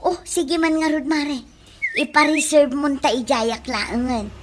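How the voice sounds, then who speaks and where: A woman's voice: high-pitched, unhurried. A woman with an Ilocano accent playing a grateful provincial housewife looking for city work in a radio comedy drama. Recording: clearly noisy radio drama recording.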